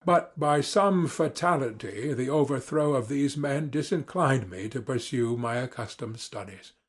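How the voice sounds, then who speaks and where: A man reading classic literature as a dramatic audiobook narrator, formal, measured and earnest. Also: medium pitch, lively intonation, slightly rough.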